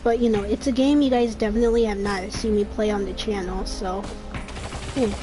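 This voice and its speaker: A woman's voice: mid-range voice, fairly even intonation, brisk. A woman in her twenties giving casual gameplay commentary, rambling and restarting her sentences, her throat dry and a little scratchy. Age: 20s. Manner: rambling, trails off and restarts often. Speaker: gaming streamer. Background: game music.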